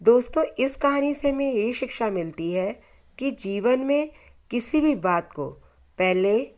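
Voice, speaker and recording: A woman storyteller narrating a scripted moral folktale in short, deliberate phrases. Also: on the high side, animated, darkish tone.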